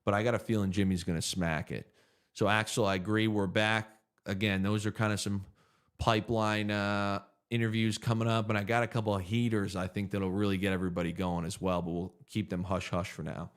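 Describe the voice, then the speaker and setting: A man's voice: on the low side, flat delivery, a little fast, clear, resonant tone. A man in his thirties hosting a casual livestream Q&A, laid-back and joking as he thinks aloud through viewer questions. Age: 30s. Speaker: podcast host answering viewer questions.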